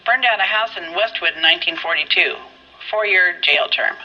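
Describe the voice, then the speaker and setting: An actress playing a young, businesslike police records operator, reading records in clipped phrases through a telephone or radio filter. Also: female voice, lowish voice, moderate pace. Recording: muffled, band-limited telephone or radio line.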